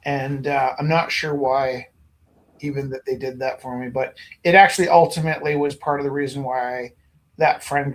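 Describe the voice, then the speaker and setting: A man from Alberta, a gallery owner and teacher, rambling through animated anecdotes in casual chat. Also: medium pitch. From Alberta, Canada.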